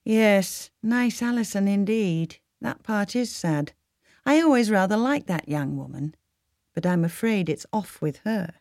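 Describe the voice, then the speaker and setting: A woman's voice: medium pitch, animated, a little slow, darkish tone, dry recording, studio quality, voice pushed up. A woman doing a shrewd grandmother nearing eighty. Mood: knowing, teasing.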